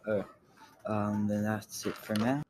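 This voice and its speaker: Low voiced guy doing a casual coding tutorial, flat delivery, thinks out loud, noisy with keyboard clicks. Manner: halting, thinking aloud, often trailing off. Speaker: tutorial host. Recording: clear background noise, keyboard clicks.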